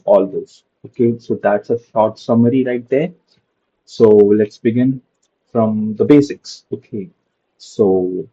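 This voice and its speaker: A man's voice: on the low side, dark tone, husky, highs cut off. A young man giving a casual screen-share coding lesson, padding his talk with "okay" and "so". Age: young adult. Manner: loose, repeats "okay" and "so" a lot. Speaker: programming tutor.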